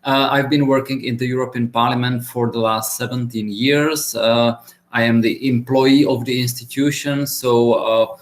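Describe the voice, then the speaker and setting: A man from the Czech Republic giving a relaxed, chatty webinar presentation over a video call, with many filler pauses. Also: mid-range voice. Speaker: guest speaker. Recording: echoey room on a video call.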